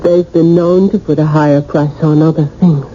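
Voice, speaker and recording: An actress playing a cool, seductive mistress with sinister connections in a 1980s radio drama, measured and knowing. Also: female voice, low voice, fairly even intonation, mellow, natural voice. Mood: tempting, ominous. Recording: muffled, noisy old radio broadcast.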